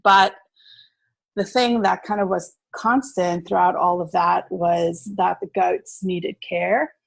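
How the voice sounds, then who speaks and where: A woman's voice: on the low side, steady tone, slow, vocal fry, no echo. A woman in her thirties, originally from South Africa but with an American accent, casually telling her story as a podcast guest, full of fillers and easy laughter. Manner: chatty, lots of fillers, laughs easily.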